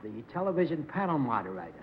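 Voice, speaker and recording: Urbane panel guy, slightly high voice, muffled old broadcast sound with faint hiss. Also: big pitch swings, fast. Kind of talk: light introductions and polite questioning. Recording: dull 1960s television broadcast audio.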